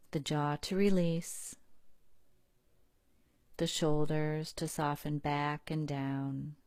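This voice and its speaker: Low, very slow woman guiding meditation. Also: no room sound, studio quality. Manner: calm, unhurried, soothing, with long pauses. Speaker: guided meditation leader. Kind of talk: guided relaxation narration.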